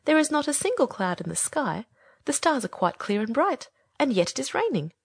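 A woman voicing a small, vain, impatient male swallow from a storybook, brisk and opinionated. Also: high-pitched, very animated, vocal fry, slightly rough, no room sound, pitched above the performer's own voice, heavily acted. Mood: complaining. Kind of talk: storybook character reading.

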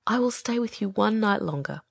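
A woman's voice: medium pitch, expressive, a little fast, gravelly, slightly rough, no room sound, studio quality. A woman playing a small, good-hearted male swallow in a storybook, speaking earnestly and expressively. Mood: devoted, tender. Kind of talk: acted storybook dialogue.

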